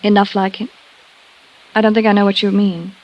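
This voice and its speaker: An actress playing a cool, defiant wife with an American accent, guarded and politely haughty, in a 1950s radio drama. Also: female voice, medium pitch, little pitch movement, normal speed, muffled recording, close to an everyday voice. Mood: feigned puzzlement. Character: cool, defiant wife hiding an insurance scheme.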